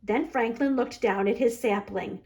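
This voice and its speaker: A woman's voice: on the high side, measured pace, a little mellow. A woman library storyteller narrating a children's storybook aloud.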